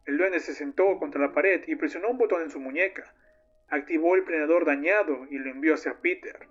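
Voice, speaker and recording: A man's voice: fairly high pitch, fairly quick, lightly acted. A man voicing a grieving, vengeful young man who tells a horror story like a solemn diary. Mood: somber, tense. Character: young man recounting his friend's monstrous downfall, grieving, vengeful. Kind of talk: scripted first-person horror narration. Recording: muffled lo-fi audio under loud music. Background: music.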